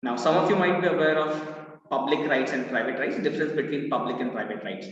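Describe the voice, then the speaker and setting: A man with an Indian accent teaching a live online law class, with a loud voice, mixing Hindi phrases in. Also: on the high side, quick pace. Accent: Indian English. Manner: lively, mixes in Hindi phrases, reads out student answers. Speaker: law entrance mentor. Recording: echoey, roomy, distant, dull live-class audio.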